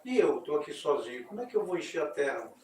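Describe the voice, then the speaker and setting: A man's voice: a little high, big pitch swings, fast talker, darkish tone, a little hiss or hum. A man voicing a lonely young son of a god in a creation legend, plaintive and pleading. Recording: echoey, distant mic.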